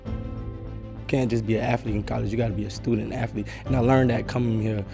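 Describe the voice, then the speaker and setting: A man's voice: mid-range voice, level tone, a little fast, gravelly, over music. A twenty-year-old man, a college football player, speaking confidently in a documentary interview. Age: young adult. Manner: confident, boastful.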